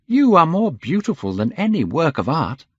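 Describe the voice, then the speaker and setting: A man performs a sensitive painter from a storybook, his voice lifted high and full of feeling. He is earnest and pleading, with animated intonation on a dry recording. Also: normal speed, no echo, dull audio, heavily acted. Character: sensitive, earnest, easily wounded male painter.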